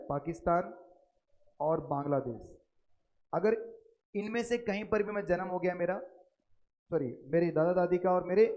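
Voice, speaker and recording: A man with an Indian accent teaching a tax lecture, drawing out key English terms slowly and asking his students rhetorical questions. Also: fairly high pitch, a little mellow, muffled recording. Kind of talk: classroom lecture. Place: recording studio.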